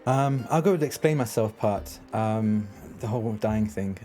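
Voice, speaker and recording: A man's voice: fairly low pitch, normal speed, warm tone, faint music behind, close to an everyday voice. A man voicing a cheeky, evasive vampire with a flippant, nonchalant way of talking.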